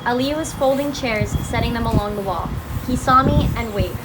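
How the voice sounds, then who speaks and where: A woman's voice: fairly high pitch, normal speed, natural voice. A woman reading the part of a guilty young narrator in scripted readers' theatre. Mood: troubled. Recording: noisy room recording.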